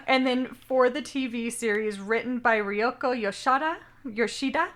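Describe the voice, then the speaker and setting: A woman's voice: on the high side, normal speed, a bit bright. A young woman co-hosting a casual anime podcast, chatty and rambling, often second-guessing how she pronounces names. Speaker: podcast co-host.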